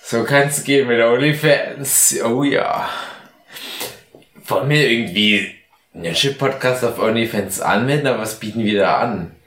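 A man's voice: mid-range voice, moderate pace, a bit bright, echoey room. A man co-hosting a podcast, drunk, bantering casually in a theatrical, clowning way. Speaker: podcast co-host.

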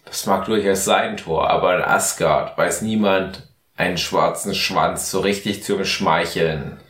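A man's voice: low voice, steady tone, measured pace, a bit bright, roomy sound. A man playing a grave, seductive superhero spy chief in an improvised parody audio play, speaking solemnly and portentously.

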